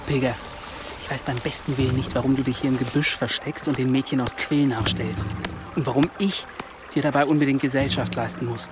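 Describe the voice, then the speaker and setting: An actor in a radio drama playing an impatient young monk with a dry, exasperated manner. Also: male voice, medium pitch, steady tone, fairly quick, music in the background, natural voice.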